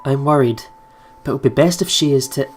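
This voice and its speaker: A man's voice: mid-range voice, a little fast. A man hosting a let's play, reading game narration aloud and adding casual commentary. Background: game music.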